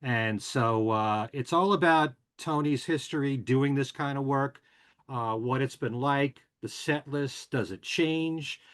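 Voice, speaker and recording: A man hosting a podcast, casually running through show news and plugs in a chatty, relaxed way with frequent filler words. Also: mid-range voice, slow pace. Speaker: podcast and radio host.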